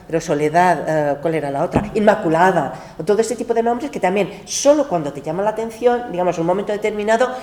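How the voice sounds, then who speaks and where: A lively, candid woman speaking as a translator at a book club. Her voice is mellow and slightly low, with a roomy echo and faint noise behind. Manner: lively, candid, laughs often. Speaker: literary translator.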